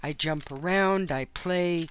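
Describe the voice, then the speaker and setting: A hoarse woman hosting a craft channel, chatting in a rambling way with plenty of asides and fillers from her home craft studio. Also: fairly low pitch, takes it slowly, a little hiss or hum. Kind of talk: casual chat while crafting.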